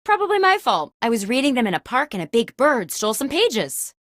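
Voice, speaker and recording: A woman's voice: fairly high pitch, very animated, fairly quick, dry recording, clean studio sound. A woman playing a chipper, insecure, scatterbrained office boss as the host of a video game, with an over-eager, theatrical delivery. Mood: breezy, self-satisfied.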